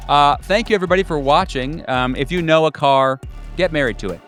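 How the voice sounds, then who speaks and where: A man hosting a tabletop horror game, improvising narration and table chat in a halting, searching way with repeated words. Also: fairly high pitch, a bit bright. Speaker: game master and host. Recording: faint music behind the voice. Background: faint music.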